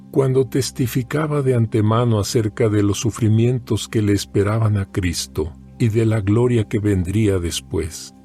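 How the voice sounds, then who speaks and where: A man giving a solemn, measured scripted reading of scripture as a narrator. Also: medium pitch, mellow. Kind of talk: scripted dramatized Bible reading. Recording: music bed under the voice. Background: music.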